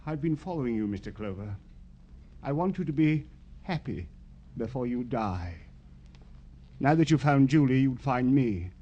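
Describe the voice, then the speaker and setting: An actor playing a gloating, mannered killer with florid, mock-polite speech in a 1950s radio crime drama, on an old broadcast recording. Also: male voice, mid-range voice, lively intonation, moderate pace, pauses often, muffled recording, close to an everyday voice. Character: gloating, mannered male killer with sadistic, unstable temper.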